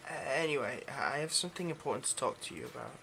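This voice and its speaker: Man as a hesitant, gravelly-edged vampire technician. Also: medium pitch, expressive, quick pace, sharp tone, a little hiss or hum, low-quality recording. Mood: polite, awkward. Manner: hesitant, stammering, overly polite. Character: nervous, obsessive male vampire posing as lab technician. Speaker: ASMR roleplay performer. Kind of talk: intimate ASMR roleplay acting.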